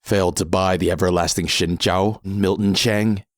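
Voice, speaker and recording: Guy doing a calm menacing masked merc, low voice, super dry sound, measured audio drama delivery. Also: no echo, lightly acted. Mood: coolly businesslike. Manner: measured, unhurried, coolly threatening. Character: calm masked mercenary man, cool and menacing.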